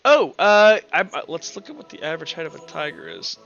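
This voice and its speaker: A man's voice: fairly high pitch, animated, brisk, gravelly, fairly bright tone, lo-fi sound, faint music behind. A man acting as game master, casually narrating a tabletop roleplaying game.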